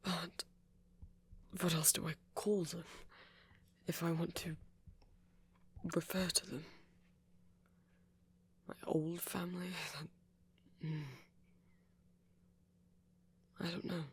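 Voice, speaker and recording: A tender male vampire played by a voice performer speaks very softly and breathily. He takes his time, tentative and wounded, letting phrases trail off with little rise or fall, in an intimate ASMR roleplay. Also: mid-range voice, flat delivery, takes it slowly, pauses often, breathy edge, exaggerated voice. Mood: unsure, sad. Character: soft-spoken wounded young male vampire, tender and unsure.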